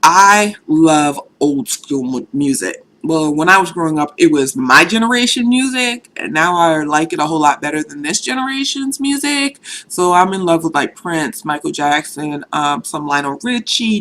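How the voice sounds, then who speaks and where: A woman's voice: sits low, expressive, moderate pace, few pauses, a bit bright. A woman in her thirties from Boston, chatting candidly in a casual vlog with a faint Boston accent that shows now and then, full of "you know.". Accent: light Boston. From Boston, USA. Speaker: vlogger. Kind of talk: casual talking-to-camera vlog.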